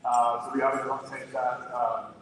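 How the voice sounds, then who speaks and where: A man from Sweden with a Swedish accent, speaking earnestly as a company executive on a panel, often pausing with fillers. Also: mid-range voice, level tone, a bit bright, a little hiss or hum. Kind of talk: panel discussion on stage. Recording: distant mic in an echoey hall with crowd.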